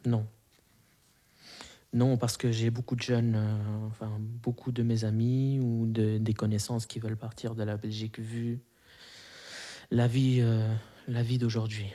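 A man's voice: fairly low pitch, little pitch movement, unhurried, warm tone. A man in his twenties, a native Arabic speaker, answering interview questions casually with many fillers and restarts. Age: 20s.

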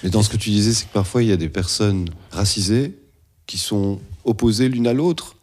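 A male interviewer with a very low, husky voice. He asks probing questions and interrupts to push back on what his guest says. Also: a little fast, a little mellow.

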